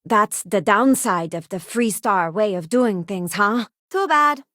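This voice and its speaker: A woman's voice: mid-range voice, lively intonation, unhurried, fairly bright tone, no echo, studio quality. An actress playing a principled, idealistic female crew companion in a space video game, speaking sincerely and conversationally. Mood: earnest, disillusioned. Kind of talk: acted game companion dialogue lines.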